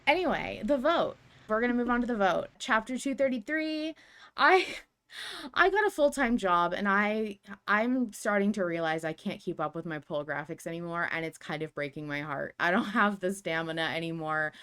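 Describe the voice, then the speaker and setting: A woman's voice: mid-range voice, expressive, moderate pace, a bit bright, smooth. A young adult woman co-hosting a casual podcast, chatty and self-interrupting, restarting her sentences and laughing often, talking into a desk mic at home. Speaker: podcast co-host.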